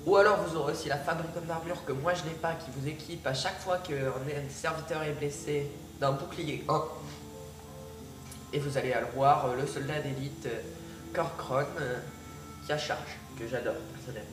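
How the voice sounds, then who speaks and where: A man's voice: on the high side, steady tone, normal speed, far from the mic. Man with a cold, roomy sound. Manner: hesitant, many fillers and restarts. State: has a cold. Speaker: gaming video creator. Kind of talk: casual gameplay commentary. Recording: echoey room, off-mic, music behind. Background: background music.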